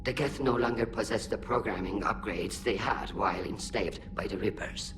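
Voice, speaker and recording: An actress playing an authoritative alien admiral in a video game, formal and stern. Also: female voice, very deep voice, moderate pace, noisy background. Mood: grave.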